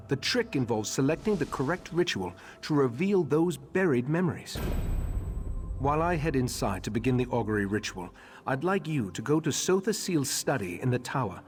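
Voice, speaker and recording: An actor playing a solemn, scholarly master of a magical order, speaking formally and deliberately in a fantasy game. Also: male voice, medium pitch, natural voice. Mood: solemn, instructive. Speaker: quest-giving leader of a magical order. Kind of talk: scripted fantasy game dialogue.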